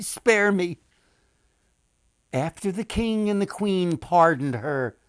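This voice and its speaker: An older man reading a children's story expressively, with dramatic pauses, addressing his listeners directly. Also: fairly high pitch, no room sound. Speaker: author and storyteller.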